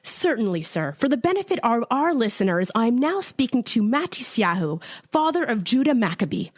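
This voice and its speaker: Pressing female reporter acted by a woman, formal, high and lively, close dry sound, radio drama. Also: a little fast, no echo. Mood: formal, challenging. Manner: formal, challenging. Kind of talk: mock news interview, old-time radio acting.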